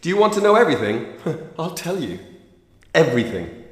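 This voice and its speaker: A man's voice: on the high side, sing-song, brisk. A man playing a brutal, threatening secret agent interrogator in a radio drama, with a sneering manner. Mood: coldly menacing. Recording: echoey room with long reverb.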